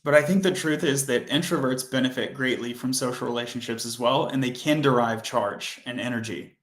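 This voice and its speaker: A man hosting a coding livestream, chatting casually and rambling with self-corrections, his voice hoarse and fading. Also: mid-range voice, fairly quick, a bit bright, roomy sound.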